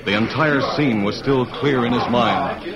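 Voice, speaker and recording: A man narrating an old-time radio western in a theatrical, measured, scripted announcer style. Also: mid-range voice, highs cut off, very noisy. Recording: band-limited vintage radio broadcast. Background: music.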